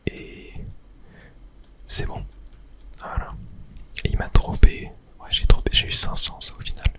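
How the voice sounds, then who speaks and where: A person doing whispered ASMR let's play commentary, narrating their own play in a hushed, unhurried way close to the microphone. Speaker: gaming ASMR creator and host.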